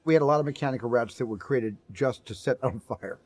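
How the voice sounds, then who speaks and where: A man's voice: mid-range voice, quick pace, no room sound. A filmmaker in an interview, wry and self-mocking as he tells stories from the set. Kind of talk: interview anecdote.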